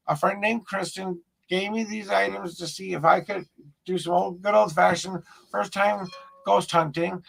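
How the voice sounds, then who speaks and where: Gravelly, fairly high-voiced man. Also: fairly even intonation, normal speed, a bit bright, rough recording. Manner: rambling, repeats himself while showing objects. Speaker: paranormal investigator streaming live. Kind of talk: casual live-stream talk.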